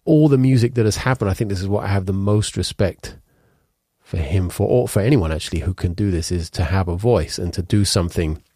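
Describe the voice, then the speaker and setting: A man's voice: low-pitched, brisk, dark tone, no room sound, studio quality. A man in his thirties with a stuffed-up cold, chatting casually about chord voicings in a rambling music lesson, piano playing in the mix. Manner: rambling, restarts and fillers, occasional hummed notes. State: congested with a cold. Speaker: musician teaching composition.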